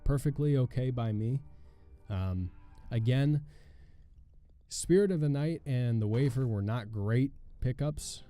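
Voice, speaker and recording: Hoarse, dark-voiced young man gaming. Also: medium pitch, expressive, measured pace, faint music behind. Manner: thinking aloud, wry, muttering while reading. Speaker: gaming channel host. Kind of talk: casual let's play commentary.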